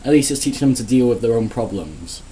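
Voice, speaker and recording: A man's voice: mid-range voice, animated, fast, warm tone, low-quality recording. A man giving a sarcastic, crude, rambling comedic rant in a casual vlog. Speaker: vlogger.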